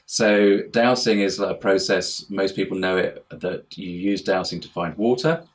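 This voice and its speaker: Low-voiced man explaining in conversation. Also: unhurried. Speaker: interview guest, house healer and life coach. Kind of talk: conversational explanation in an online interview. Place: remote internet call.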